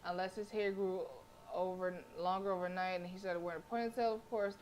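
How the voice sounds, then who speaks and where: A woman's voice: on the low side, fairly even intonation, fairly quick, a little mellow, slight background noise. A woman livestreamer reading a visual novel aloud dramatically, with casual asides and stumbles she corrects as she goes.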